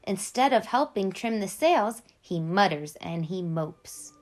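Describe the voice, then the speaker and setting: Slow, expressive woman reading to children. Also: mid-range voice, soft background music. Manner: clear, deliberate delivery addressed to young children. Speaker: children's read-aloud storyteller and host. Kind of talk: expressive picture book narration for children.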